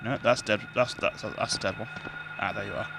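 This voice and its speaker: A man with a very deep, bassy voice, hosting casual let's-play commentary on a gaming headset mic, chatty and self-deprecating. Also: steady tone, fairly quick, creaky, heavy background noise. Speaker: gaming channel host. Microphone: gaming headset with adjustable boom mic. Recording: game music playing under voice. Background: game music and sounds.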